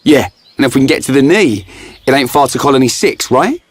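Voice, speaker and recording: A man's voice: mid-range voice, lively intonation, a little fast, breathy edge, no echo. A man playing a cocky, loyal young sidekick in a video game, breezy and colloquial. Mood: practical, eager. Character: cocky, loyal young male sidekick, plain-spoken and upbeat. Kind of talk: video game cutscene acting.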